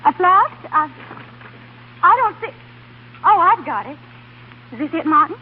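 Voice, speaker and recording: Anxious young wife, tinny old radio. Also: female voice, very high-pitched, sing-song, measured pace, full character voice. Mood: hesitant, puzzled. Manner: folksy, fretful, coaxing. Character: devoted, anxious, plucky young wife of a scientist. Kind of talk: old-time American radio drama acting. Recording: tinny, noisy vintage radio recording.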